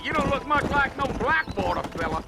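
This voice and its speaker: An actor playing a chatty, folksy frontier guide in a western video game, with an American Western accent and rambling rustic phrasing. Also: male voice, extremely high pitch, sing-song, brisk, vocal fry, heavy background noise, exaggerated voice. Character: chatty, folksy male frontier trail guide, friendly and plainspoken. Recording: loud music behind the voice. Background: music, horses and hoofbeats.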